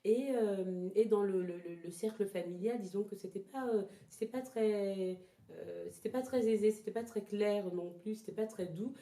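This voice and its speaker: A woman from Togo who grew up partly in France gives reflective, personal interview answers, searching for her words with pauses and repetitions. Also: mid-range voice, mellow. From born in Togo, lived in Ghana, moved to France as a child. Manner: hesitant, halting, repeats words as she searches for phrasing. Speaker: interview guest, traditional therapy practitioner. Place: indoors in a house. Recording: roomy, echoing indoor sound.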